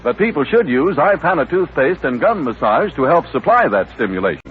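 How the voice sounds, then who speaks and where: A man's voice: a little high, very animated, brisk. An American man announcing in polished, earnest 1930s radio advertising style. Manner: polished, earnest sales delivery. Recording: tinny, noisy 1939 radio broadcast.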